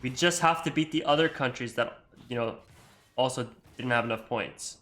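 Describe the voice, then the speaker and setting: A man's voice: mid-range voice, moderate pace, a bit bright. A young man from Iceland with an American accent, chatting casually over his gameplay in a rambling way full of fillers. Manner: rambling, full of likes and you-knows. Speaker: gamer and video creator.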